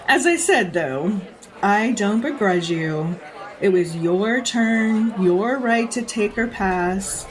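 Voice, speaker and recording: A woman's voice: mid-range voice, expressive, takes it slowly, poor audio. An actress playing a jaded, predatory society woman in an audio drama, haughty and teasing with a theatrical drawl. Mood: teasing, menacing.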